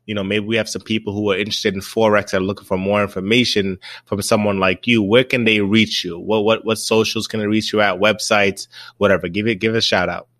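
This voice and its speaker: A man hosting a podcast, asking relaxed conversational questions. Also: fairly low pitch, fast, dry recording. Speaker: podcast host. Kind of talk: casual podcast interview.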